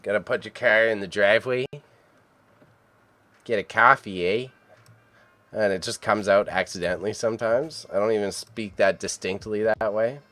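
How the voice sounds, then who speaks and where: A man's voice: fairly low pitch, normal speed, fairly bright tone. A Canadian man in his thirties, livestreaming from a room at home, muttering to himself as he edits photos and then chatting casually with viewers. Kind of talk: casual live-stream chat.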